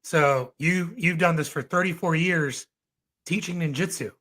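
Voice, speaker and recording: Podcast host: hoarse man, bright and slightly high, easygoing, full of fillers. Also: measured pace. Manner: relaxed, laid-back, filler-heavy. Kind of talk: casual podcast interview.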